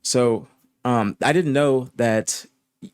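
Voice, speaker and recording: A man's voice: medium pitch, slow pace, clean tone, no room sound. A man hosting a casual interview, easygoing and full of fillers and stumbling restarts. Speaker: interviewer, host.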